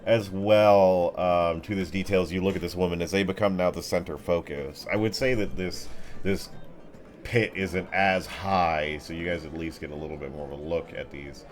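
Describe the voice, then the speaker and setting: A man's voice: deep voice, steady tone, moderate pace. A man narrating an improvised tabletop game as game master in a casual, descriptive way. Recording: music behind the voice.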